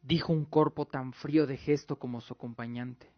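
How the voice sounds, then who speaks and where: A man's voice: mid-range voice, fairly even intonation, normal speed, dry recording. A man reading dramatic scripted narration as the narrator of a radio drama. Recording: muffled audio with music. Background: faint music.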